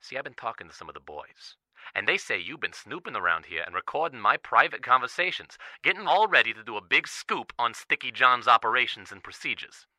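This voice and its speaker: A man's voice: fairly low pitch, bright, forceful tone, no echo, low-quality recording. An actor playing a menacing gangster leaving a threatening message in old-time tough-guy slang. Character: menacing gangster-type man, old-time mobster talk. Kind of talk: audio drama recorded message.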